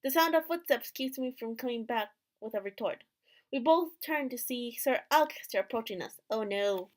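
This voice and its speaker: A woman's voice: fairly high pitch, expressive, normal speed. A woman hosting a gaming video, reading a visual novel's narration aloud expressively.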